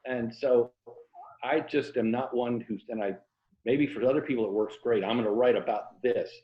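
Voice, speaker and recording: An American man talks casually as an interview guest, telling stories in a reflective, self-deprecating way. His voice sits at an average pitch. The room is clearly echoey and the sound is a little dull and lo-fi. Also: normal speed. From Florida, USA. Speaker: interview guest, fiction writer and former journalist. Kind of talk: casual interview conversation about writing.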